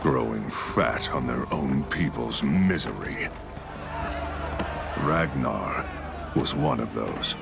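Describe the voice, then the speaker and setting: An actor playing a grim, blunt Viking warrior who narrates in a deep, gravelly voice for a game cutscene. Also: male voice, very animated, takes it slowly, long pauses, heavily acted. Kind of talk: video game cutscene acting. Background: loud score music.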